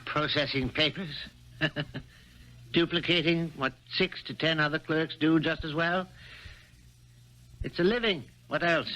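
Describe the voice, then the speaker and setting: An actor playing a cynical but convivial office clerk in a 1970s radio drama, wry and goading. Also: male voice, fairly high pitch, measured pace, long pauses, pushed and bright, poor audio.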